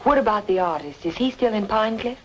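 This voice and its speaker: A woman's voice: mid-range voice, expressive, a little fast, slight background noise, natural voice, rough recording. A woman playing a determined, lovelorn young amateur sleuth in an old-time radio noir drama, speaking earnestly and insistently. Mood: polite, inquiring.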